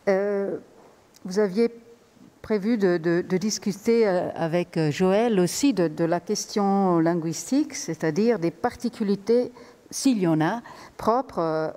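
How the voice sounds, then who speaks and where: A woman's voice: on the low side, warm tone. A woman moderating a conference discussion and asking long questions, hesitant and full of fillers. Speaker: moderator, academic.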